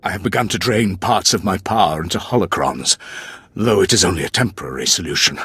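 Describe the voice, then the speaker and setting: An actor playing a dying, haunted Sith lord in a game, raspy, grave and theatrical in a dramatic monologue. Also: male voice, low-pitched, expressive, fairly quick, a bit bright, no echo, exaggerated voice. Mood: grave, failing.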